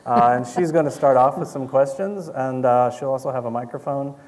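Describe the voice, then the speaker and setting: A man's voice: mid-range voice, a little fast, a little mellow. A man informally moderating a live audience Q&A in a casual, somewhat hesitant way. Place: indoor event venue with audience. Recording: noisy live event room.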